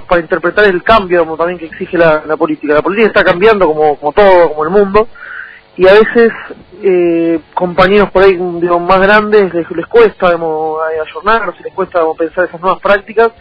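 A man's voice: high voice, no echo, muffled recording. A man in his thirties with a Rioplatense Argentine accent, answering conversationally as a political candidate in a radio interview, often repeating words as he goes.

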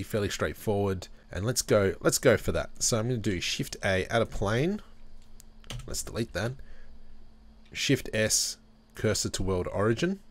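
A man with a very low voice hosts a software tutorial. He talks his way through each step in a relaxed way, thinking aloud as he works at his desk microphone. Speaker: tutorial host. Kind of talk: screen-recorded software tutorial.

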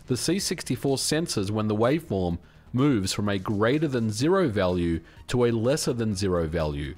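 A middle-aged man, around his forties, giving a scripted explanatory narration as a video host in a measured, instructional way. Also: mid-range voice, darkish tone. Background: background music.

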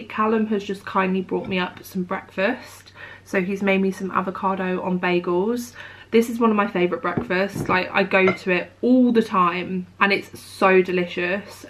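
A young woman in her twenties vlogging to camera at home, chatty and upbeat, her voice nasal and croaky from a cold. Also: medium pitch, a bit bright. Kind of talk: casual chat to camera. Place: bedroom at home.

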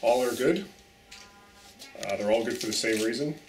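A man in his thirties from a plumbing company, standing in a small office and explaining water pipe options to the camera in a plain, steady way. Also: medium pitch, normal speed, a little hiss or hum. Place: small office with framed certificates on walls. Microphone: camera some distance away. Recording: roomy office sound from a distant camera.

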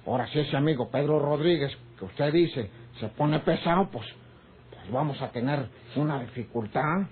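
Casting: rural Mexican foreman, folksy rogue, mid-pitched, in a tinny radio serial. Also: moderate pace, breathy edge. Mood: uneasy, evasive. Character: cheeky, flirtatious Mexican ranch foreman, rough around edges. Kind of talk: old radionovela acting. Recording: tinny, noisy old radio drama recording.

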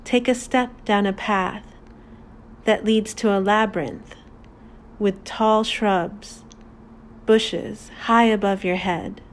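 A woman narrating a guided meditation in a calm, deliberate, soothing manner with long pauses. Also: mid-range voice, a little hiss or hum.

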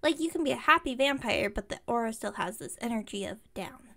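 A woman's voice: a little high, animated, a little fast, vocal fry, a bit bright. A woman playing an enthusiastic, slightly incompetent witch shopkeeper in an audio roleplay, rambling on in a friendly, bubbly way. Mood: curious, rambling. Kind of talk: ASMR roleplay audio, one-sided chat with listener.

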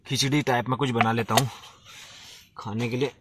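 A man's voice: medium pitch, little pitch movement, moderate pace. A man chatting casually and informally to viewers as he narrates his own solo camping vlog. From India. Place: at a forest campsite. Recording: distant mic, faint noise, small clicks.

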